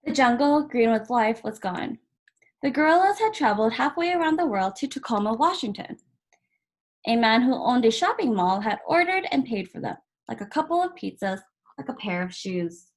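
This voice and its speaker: Higher-voiced woman reading a children's book aloud. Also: expressive, moderate pace. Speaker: volunteer story reader.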